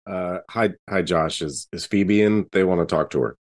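A man's voice: sits low, normal speed. A man casually role-playing as a player in a tabletop game, switching between character lines and describing actions.

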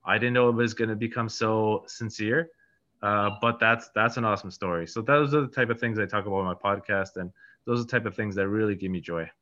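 Polish-born guy in his 30s, bright punchy voice, lots of uh and you know, muffled lo-fi recording. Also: lowish voice, a little fast. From Poland. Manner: rambling, many fillers and restarts. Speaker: real estate agent, podcast host. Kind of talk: casual interview answers. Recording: dull lo-fi audio.

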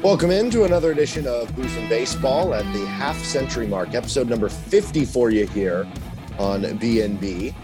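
A man hosting a sports podcast in casual chat, hoarse and losing his voice, running through stats in a relaxed way. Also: mid-range voice, fairly quick, over music. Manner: relaxed, lists stats conversationally. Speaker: podcast host.

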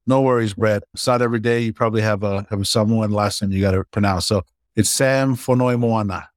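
A man in his forties from Hawaii, a startup founder chatting casually and warmly about his life as an interview guest. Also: lowish voice, steady tone, a little fast, dry recording, clean studio sound.

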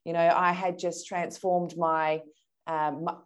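Australian woman with a slightly low voice. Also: little pitch movement, unhurried. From Perth, Australia. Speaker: interview guest. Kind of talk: conversational personal storytelling.

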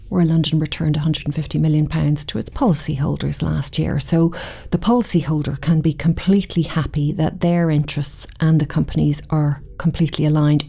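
A middle-aged woman with a Dublin Irish accent answers podcast questions at a measured, considered pace. Her voice sits a little low with a warm, relaxed tone. She pauses now and then to restart a phrase as she thinks. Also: vocal fry. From Ireland. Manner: measured, thoughtful, occasional ums and restarts. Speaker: guest, committee chair. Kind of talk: podcast interview.

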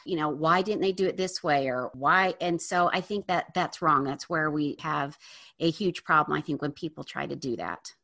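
A woman's voice: sits low, moderate pace, creaky, fairly bright tone. A woman interviewing a guest, speaking in a thoughtful, casual way with everyday fillers. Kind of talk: conversational interview.

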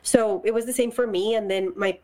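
A woman in her thirties speaks as a podcast guest. Her voice sits at a middle pitch, and her delivery is measured and earnest with frequent pauses. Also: fairly even intonation, warm tone. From Colombia. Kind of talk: casual interview conversation.

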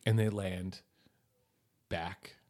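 A man co-hosting a casual recap podcast, animated and jokey, often acting out characters' lines in playful voices. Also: low-pitched, very slow. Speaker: podcast co-host.

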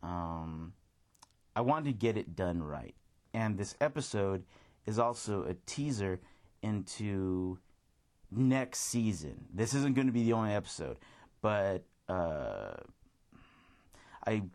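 A man hosting his own music radio show, talking casually and rambling as he thinks aloud, with restarts and shout-outs to his listeners. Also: lowish voice, sing-song, slow, pauses often. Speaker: podcast host.

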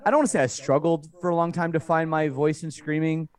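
A man's voice: a little high, fairly even intonation, fast talker, darkish tone. A man in his forties, a guest talking thoughtfully in a casual chat, often restarting sentences. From Canada. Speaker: guest musician.

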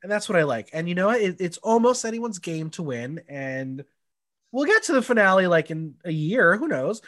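Congested guy hosting a podcast, high voice that jumps all over, chatty. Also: moderate pace. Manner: chatty, animated, swears casually. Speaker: podcast host. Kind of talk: casual podcast chat.

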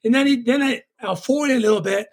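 A man's voice: very high voice, fast talker. A man from Tonga, telling stories from his own life as an interview guest in an earnest, conversational way that is full of "you know". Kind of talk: personal storytelling in conversation.

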